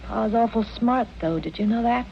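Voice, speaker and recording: A woman's voice: on the high side, moderate pace, creaky. A woman playing a naive, loyal backwoods girl in a radio western, plaintive and simple, with a rural American accent. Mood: wistful, artless. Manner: simple, folksy, artless. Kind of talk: 1950s-60s radio western acting. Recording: muffled, noisy old radio recording.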